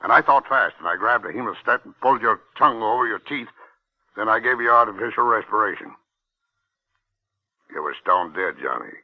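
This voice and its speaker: An actor in a 1950s radio drama playing a seedy back-alley doctor, insistent and wheedling, with a gravelly voice. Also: male voice, fairly low pitch, lively intonation, fairly quick, long pauses. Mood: agitated, insistent. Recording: dull, band-limited 1950s radio recording.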